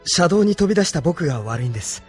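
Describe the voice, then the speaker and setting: 男性声優がアニメのオーディオドラマで、警戒心を抱えた礼儀正しい逃亡中の青年を演じている。. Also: male voice, a little high, very animated, fast talker, breathy edge, soft background music. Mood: polite, apologetic. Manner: polite, hesitant, with hushed inner monologue. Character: polite, wary young man on the run. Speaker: voice actor. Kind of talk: anime audio drama acting.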